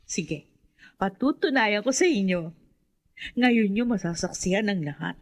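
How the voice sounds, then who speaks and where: An actress playing a defiant, possessed young daughter who serves darkness, imperious and grandiose in a radio horror drama. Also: female voice, mid-range voice, lively intonation, measured pace. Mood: defiant, menacing.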